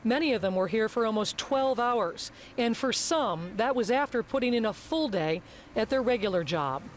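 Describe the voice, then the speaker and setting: A woman's voice: on the high side, expressive, moderate pace, a little hiss or hum. A woman with an American accent giving a live local television news report from the field. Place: outdoors at a rural scene.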